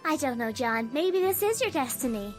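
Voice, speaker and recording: A woman playing a sweet, giggly, encouraging teenage girl with a gentle manner in a webcomic voice drama. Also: sits high, very animated, normal speed, soft background music. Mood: sweet, amused. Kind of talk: dubbed webcomic dialogue acting.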